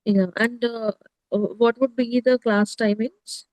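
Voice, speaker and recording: A woman with an Indian accent making a casual inquiry as a prospective student, in halting, hesitant phrases. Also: a little high, fairly even intonation, darkish tone, no echo, rough recording.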